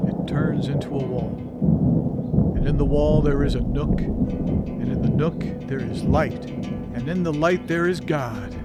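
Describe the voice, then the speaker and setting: A man's voice: on the high side, takes it slowly. A man performing spoken-word poetry as an incantatory recitation. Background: loud music.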